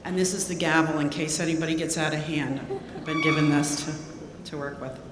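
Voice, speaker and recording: A woman's voice: sits low, little pitch movement, a little fast. A woman moderating a panel, joking and chatty in an informal way. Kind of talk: hosting a panel. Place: lecture hall. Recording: echoey hall, faint room noise.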